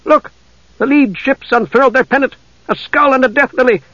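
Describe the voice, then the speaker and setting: A man's voice: extremely high pitch, moderate pace, fairly bright tone, no room sound, voice pushed up. A man reading an audiobook, voicing an uneasy harbor man who talks with tense urgency. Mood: alarmed. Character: uneasy harbor man, middle-aged, alarmed. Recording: dull lo-fi dry recording.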